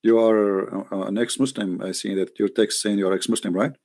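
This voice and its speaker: Gravelly-voiced man hosting a call-in. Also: fairly low pitch, fairly even intonation, a little fast, a little mellow. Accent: Arabic. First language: Arabic. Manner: teasing, jokey, non-native phrasing. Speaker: host taking calls. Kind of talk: casual call-in debate chat.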